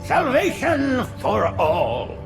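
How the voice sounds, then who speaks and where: High, creaky, sanctimonious alien prophet. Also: male voice, huge pitch swings, very slow, exaggerated voice. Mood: pious, grandiose. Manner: sanctimonious, flowery, ceremonial. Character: old alien prophet, pious, unctuous religious leader. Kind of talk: video game cutscene acting. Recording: loud cinematic music behind.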